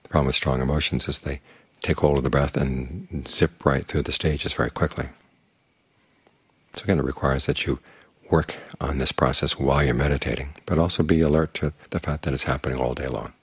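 An older man, a Buddhist monk, giving a calm, plain-spoken evening dharma talk that leans on homely images. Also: a bass voice, fairly quick, husky, no echo.

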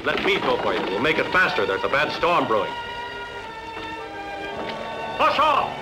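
A man voicing a brisk, eager adventurer with an urgent, commanding manner in a fan-dubbed film. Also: medium pitch, wildly swooping pitch, over loud music, low-quality recording.

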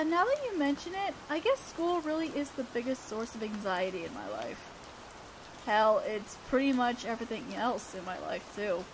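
A very high, slow-spoken voice of an awkward teenage girl, acted by a woman, in a romantic audio roleplay. She is earnest and easily flustered, halting shyly as she goes. A clear hiss like rain sits behind her. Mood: wistful, downcast.

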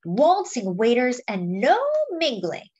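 A woman reading a children's picture book aloud as a story-time host, with deliberate phrasing for young listeners. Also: a little high, sing-song, a little mellow, breathy edge, muffled recording.

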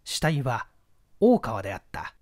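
A woman narrates a mystery story as an audiobook reader. Her delivery is measured storytelling, with animated, wide-ranging intonation. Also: very deep voice, husky.

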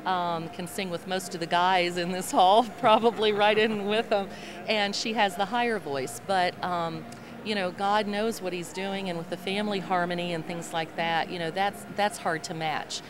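A middle-aged woman gospel singer with a low voice, talking in an upbeat, chatty way into a handheld mic at a convention booth. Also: steady tone, moderate pace, a crowd in the background. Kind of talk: interview answers. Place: convention hall interview booth. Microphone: handheld mic held by host. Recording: noisy convention hall.

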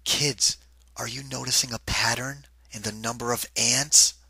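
A man giving an interactive children's read-aloud in a deliberate, singsong rhythm, like a teacher with a picture book. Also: mid-range voice, fairly even intonation, gravelly, pushed and bright, husky, no room sound.

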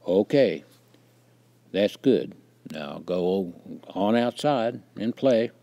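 A man's voice: lowish voice, animated, dark tone, heavily acted. Man playing a firm, stern father. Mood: firm, instructing. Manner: firm, commanding, deliberate. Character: stern, authoritative father instructing his young son.

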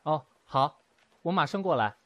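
A man's voice: a little high, lively intonation, moderate pace, a bit bright, no echo. A man playing a proud, wounded math teacher in an audio drama, speaking in a curt, cool way. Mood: quiet, resigned. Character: proud, wounded male math teacher rejecting his former lover. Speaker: voice actor in an amateur audio drama. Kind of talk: acted audio drama dialogue. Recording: music behind the voice.

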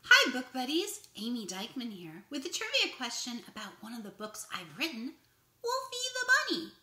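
A woman's voice: a little high, wildly swooping pitch, normal speed, sharp tone. A woman in her thirties, a children's author, perky and theatrical as she presents exuberantly to young kids. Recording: echoey room.

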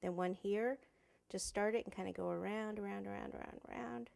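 A woman's voice: mid-range voice, steady tone, fairly quick, creaky, a little mellow. A woman in her forties teaching cake decorating in a home kitchen, chatty and thinking aloud as she works, recorded on a lapel mic. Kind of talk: casual hands-on tutorial.

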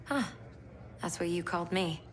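Direct young commander played by a woman, lowish. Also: moderate pace, slight background noise, lightly acted. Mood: frank, warm. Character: capable, frank young woman military commander. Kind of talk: natural video game cutscene acting.

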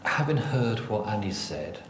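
A man asking calm, careful questions in a formal hearing, with a gentle manner. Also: lowish voice, a little slow, echoey room, background noise.

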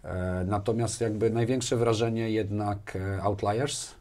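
A man's voice: on the low side, fairly even intonation, unhurried, a little mellow. A man in his forties talking conversationally as an interview guest, thinking aloud with hesitations and repeated words. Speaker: interview guest, business manager. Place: small furnished indoor studio. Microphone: lapel mic.

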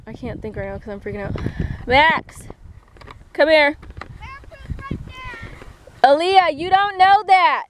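A woman's voice: sits high, big pitch swings, takes it slowly, long pauses. A young woman chatting casually and bluntly, thinking aloud as she vlogs outdoors on a hillside trail with a handheld camera. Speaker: vlogger. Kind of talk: casual outdoor vlog chat to camera and children. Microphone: handheld camera at arm's length. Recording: loud wind and outdoor handling noise.

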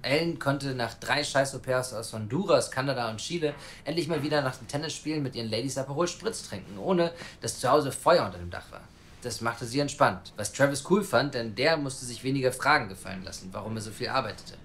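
A man's voice: mid-range voice, expressive, brisk, fairly bright tone, a little hiss or hum, close to an everyday voice. An actor playing a brash, defiant young man with a coarse mouth in a naturalistic audio drama. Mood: smug, storytelling. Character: brash, provocative young man pleading to adopt a child.

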